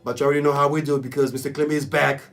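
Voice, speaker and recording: A man with a raspy voice hosting a casual, improvised community radio show in an exclamatory hype style, repeating catchphrases. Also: fairly high pitch, little pitch movement, racing, a bit bright. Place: radio studio.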